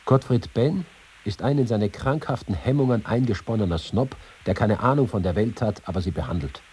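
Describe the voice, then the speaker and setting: A man's voice: fairly low pitch, a little fast, mellow, breathy edge. An actor playing a witty, boastful, combative reporter, reading his letters and writings for a radio feature. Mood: scornful.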